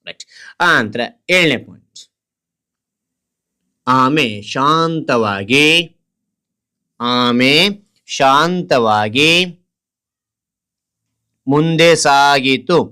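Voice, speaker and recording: A male teacher with a slightly raised pitch reads out a lesson as if for dictation. He goes extremely slowly, breaking sentences into short phrases. Each piece is delivered deliberately and often repeated. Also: fairly bright tone, clean tone. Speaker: teacher presenting a children's writing lesson. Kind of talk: slow dictation-style lesson, reading out a story point by point.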